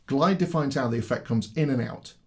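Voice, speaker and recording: A man delivers scripted explanatory narration for a product demo. His voice sits at a medium pitch. He takes the listener through things step by step in a clear, instructional way. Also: fairly quick, darkish tone.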